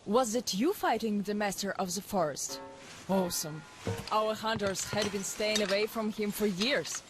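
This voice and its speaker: A woman playing a brisk young forest leader. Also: mid-range voice, over music, lightly acted. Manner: brisk, practical, giving directions. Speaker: young woman who helps the hero. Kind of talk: video game cutscene voice acting.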